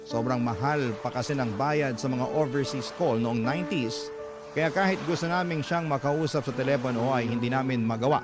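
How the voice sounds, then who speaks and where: Man narrating over clear music. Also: mid-range voice, normal speed. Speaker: narrator of a listener's letter. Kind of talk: dramatic scripted narration.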